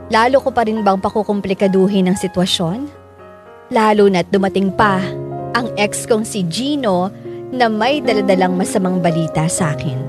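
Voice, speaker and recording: A woman's voice: mid-range voice, natural voice. A woman playing a troubled young woman narrating her love story in a reflective, confessional radio drama style. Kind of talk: scripted first-person radio drama narration. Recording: loud music bed behind narration.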